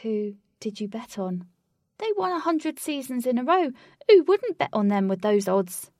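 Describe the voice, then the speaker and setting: A woman's voice: on the high side, sing-song, normal speed, darkish tone, no echo, clean studio sound. A woman voicing a distressed young man who mumbles and sniffles as he owns up to a foolish bet in a storybook.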